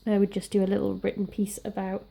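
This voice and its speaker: Welsh woman, young, slightly croaky from a cold, chatty and rambling, warm gravelly tone. Casual crafting vlog. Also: mid-range voice, monotone, quick pace. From Wales. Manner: chatty, rambling, self-deprecating. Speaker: scrapbooking crafter.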